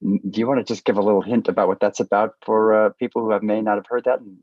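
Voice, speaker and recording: Low-voiced male moderator in echoey room. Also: flat delivery, quick pace. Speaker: panel moderator. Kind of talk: conversational panel moderating. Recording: echoey room, slightly dull sound.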